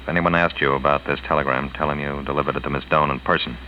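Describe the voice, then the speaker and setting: A man's voice: extremely low pitch, fairly even intonation, quick pace. A man playing a stern, commanding police detective, terse and authoritative, in a 1940s radio drama. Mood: businesslike. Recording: muffled old radio recording, faint noise.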